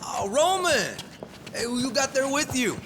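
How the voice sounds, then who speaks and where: An actor playing a taunting, theatrical gang boss in a video game, swinging between quiet menace and yelling. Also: male voice, extremely high pitch, sing-song, measured pace, noisy background, heavily acted. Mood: mock friendly. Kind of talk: dramatic game villain acting. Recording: reverberant hall, loud music behind.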